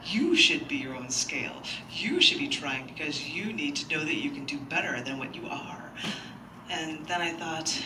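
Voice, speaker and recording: A woman's voice: low-pitched, far from the mic. Woman reading poetry in an echoey, noisy room. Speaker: poet and open mic host. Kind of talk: live poetry reading with chatty, anecdotal introductions. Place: large indoor bookstore, live event.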